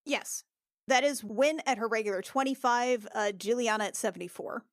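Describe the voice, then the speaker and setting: A woman's voice: a little high, moderate pace, dry recording, studio quality. A woman chatting casually as a player in a tabletop game.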